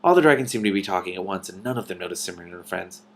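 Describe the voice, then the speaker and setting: A man hosting a read-aloud of a novel, narrating expressively with animated, informal commentary. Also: low voice, rapid-fire, vocal fry, slight background noise.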